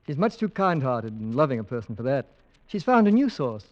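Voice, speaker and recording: A man's voice: mid-range voice, big pitch swings, brisk, a little mellow, natural voice. A man acting a pleasant young English husband, doting, wry and a little uneasy, in an old radio drama. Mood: fond, wry. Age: young adult. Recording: dull old radio recording.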